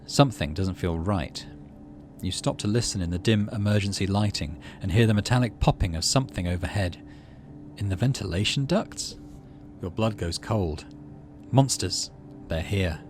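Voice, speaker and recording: A man hosting a let's play, reading a story game aloud with expressive delivery and muttering asides about his moves. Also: lowish voice, normal speed, faint music behind.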